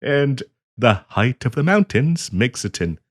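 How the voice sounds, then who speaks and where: A man as a courteous, flustered half-dragon, lilting and unhurried. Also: medium pitch, very animated. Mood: chatty, proud. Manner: courteous, genteel, hesitant and eager to please, chuckling often. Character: polite, flustered half-dragon diplomat hosting tea in his cave. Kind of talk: roleplay audio acting, addressed to the listener.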